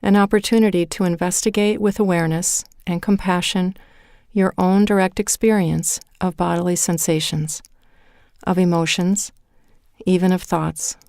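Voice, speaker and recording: A woman leading a guided meditation in a calm, measured way, with deliberate pauses between phrases. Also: on the low side, creaky, no echo, studio quality. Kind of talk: guided meditation, scripted narration.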